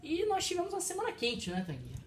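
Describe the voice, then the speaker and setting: A man's voice: extremely high pitch, huge pitch swings, fast, slight background noise. A man, a professor co-hosting a casual chat, who explains economics in a lecturing way. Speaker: co-host, professor.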